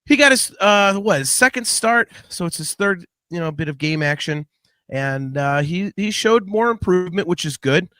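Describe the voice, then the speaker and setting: A man with a fairly high-pitched voice talks through sports as a solo podcast host. His delivery is casual and opinionated, blunt and a little profane, often repeating words as he thinks. The sound is very dry, with no room echo. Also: lively intonation, moderate pace, sharp tone. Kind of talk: casual sports commentary monologue.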